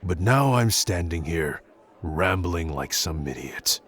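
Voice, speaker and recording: A man playing a gruff, awkward young tsundere prince who speaks haltingly and grudgingly in an intimate roleplay audio addressed to the listener. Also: low voice, lively intonation, a bit bright, some chatter behind, full character voice. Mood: awkwardly confessing. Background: background music.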